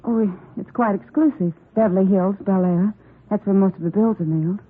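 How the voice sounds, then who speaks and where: An actress playing a courteous, slightly hesitant doctor's nurse in a 1950s radio drama, with an American accent. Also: female voice, mid-range voice, fairly quick, slight background noise, natural voice. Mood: helpful, uneasy. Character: polite, puzzled young female doctor's nurse receptionist. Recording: tinny, fuzzy vintage 1950s radio recording.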